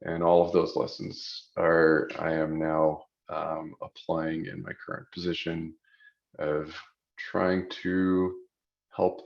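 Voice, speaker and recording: A man in his forties from Belgium with a Flemish accent, Flemish being his first language, introducing himself on a panel in a deliberate way, pausing often. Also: sits low, completely flat delivery, gravelly. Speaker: panelist, utility program manager. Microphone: video call. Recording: dull lo-fi video call audio.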